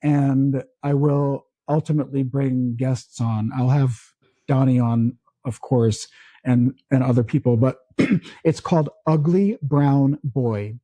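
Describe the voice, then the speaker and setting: Slow, dark-voiced man from the Philippines. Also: mid-range voice, steady tone, no room sound. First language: Tagalog. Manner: slow, halting, many restarts. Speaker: podcast co-host. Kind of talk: casual chat.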